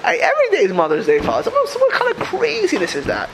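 A man in his thirties, a rabbi giving a casual Torah class, animated, often repeating words and restarting sentences. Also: very high voice, fast, slight background noise, poor audio.

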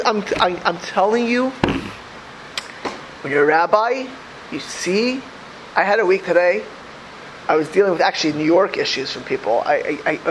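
A man in his thirties, a rabbi giving a casual Torah class, animated, often repeating words and restarting sentences. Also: high-pitched, a little hiss or hum, poor audio.

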